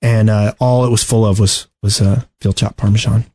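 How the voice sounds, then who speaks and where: A man's voice: on the low side, fairly even intonation, moderate pace, darkish tone. A man in his forties hosting a podcast in casual chat, joking and rambling through his stories. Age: 40s. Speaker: podcast host.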